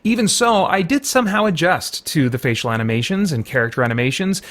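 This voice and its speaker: A man's voice: fairly high pitch, expressive, fast, fairly bright tone. A man giving a conversational game review, thinking aloud and correcting himself as he qualifies his points. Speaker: reviewer and host. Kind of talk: video game review, conversational semi-scripted commentary.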